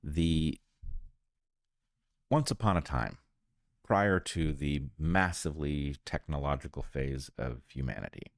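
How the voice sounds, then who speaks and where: A man co-hosting a podcast, explaining his ideas conversationally in deliberate, measured phrases with pauses. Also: extremely low pitch, expressive, gravelly, no echo, clean studio sound. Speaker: podcast co-host, biologist.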